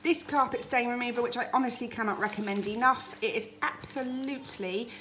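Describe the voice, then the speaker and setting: British woman, chatty and fairly high-voiced. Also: fairly quick, a bit bright, a little hiss or hum. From United Kingdom. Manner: chatty, informal. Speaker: family vlogger. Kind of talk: casual grocery haul narration. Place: home dining room, indoors.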